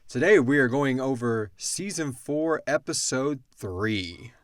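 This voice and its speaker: A man's voice: medium pitch, lively intonation, slow, no echo, studio quality. A man hosting a casual Q and A, explaining in an informal, rambling way. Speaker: podcast host. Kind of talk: casual Q and A discussion.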